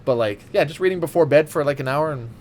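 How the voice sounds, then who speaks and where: A man's voice: fairly high pitch, fast talker, a little mellow. Casually profane man, noisy recording. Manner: profane, rambling, enthusiastic. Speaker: podcast co-host. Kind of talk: casual chat with friends.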